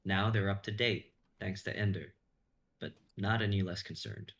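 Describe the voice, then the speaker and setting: A man's voice: on the low side, steady tone, normal speed, gravelly, fairly bright tone. A young man reading scripted narration and stage directions as narrator. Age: young adult.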